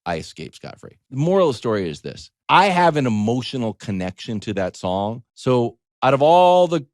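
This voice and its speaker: A man's voice: medium pitch, very animated, normal speed, no echo, studio quality. A man talking casually as a sports show host, telling anecdotes and giving opinions in an emphatic, conversational storytelling manner.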